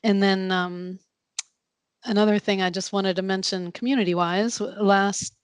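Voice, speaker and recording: A woman's voice: mid-range voice, moderate pace, clear, resonant tone, no echo. Laughing woman co-host, dry sound. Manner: relaxed, laughs often, trails off. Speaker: podcast co-host. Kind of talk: casual chat.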